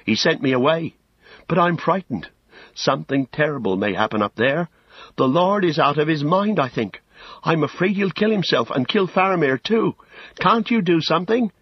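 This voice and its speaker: A man's voice: sits high, normal speed, slightly rough, dry recording, dull audio, voice pushed up. A man voicing a frightened young male hobbit who pleads anxiously in an audiobook story. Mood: frightened, urgent.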